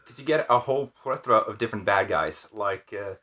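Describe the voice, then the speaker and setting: A man's voice: lowish voice, brisk, noisy background. A man co-hosting a fan discussion, talking in a casual, conversational way. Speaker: co-host of a fan discussion show.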